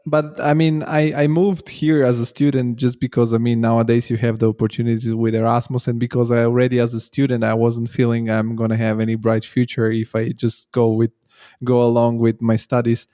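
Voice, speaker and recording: A young man speaks in an even, mellow voice with little rise and fall. He chats easily as the host, and the sound is dry and close. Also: medium pitch, brisk, no echo. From Bulgaria, raised in Italy. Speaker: podcast host. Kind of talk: podcast interview.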